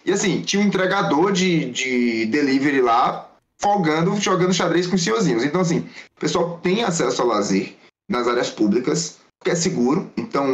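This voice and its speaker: A man's voice: mid-range voice, a bit bright. A man, a Mandarin school teacher and guest, answering casually and rambling through anecdotes. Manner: rambling, storytelling, self-correcting. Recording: dull lo-fi audio.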